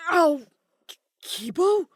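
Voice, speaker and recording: A woman's voice: very high voice, very animated, takes it slowly, dry recording, studio quality, pitched above the performer's own voice, exaggerated voice. A woman voicing a brash, loyal young man who blurts and stammers, rattled. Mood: pained shock.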